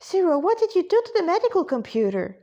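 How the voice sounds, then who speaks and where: A woman reads as a small childlike android girl from a sci-fi story. The voice is very high and squeaky, with an anxious, excitable, pleading manner. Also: fast talker, highs cut off, full character voice. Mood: worried. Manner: pleading, excitable, stammering questions.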